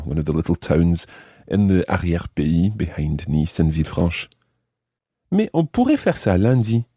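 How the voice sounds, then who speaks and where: A man's voice: low-pitched, warm tone, breathy edge, no room sound, clean studio sound. A man teaching a language lesson, explaining and translating phrase by phrase with careful enunciation. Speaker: language teacher, podcast host.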